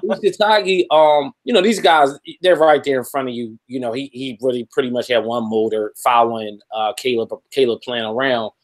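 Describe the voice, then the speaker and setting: A man's voice: mid-range voice, fairly even intonation, normal speed, few pauses. A man offering casual, colloquial sports commentary as a panelist, often checking in with "you know". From Philadelphia. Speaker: boxing show panelist.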